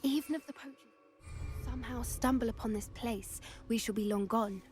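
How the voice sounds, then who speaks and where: Actress as teenage student witch, high-pitched, eager, earnest, excitable, game cutscene, light music behind. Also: moderate pace, lightly acted. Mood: hopeful, curious. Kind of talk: video game cutscene dialogue.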